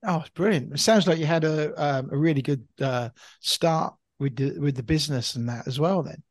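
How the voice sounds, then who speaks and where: A man co-hosts a podcast with a breathy voice pitched a bit high. The sound is dry and close, with no room around it. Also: normal speed, no echo. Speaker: podcast co-host. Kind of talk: casual interview chat.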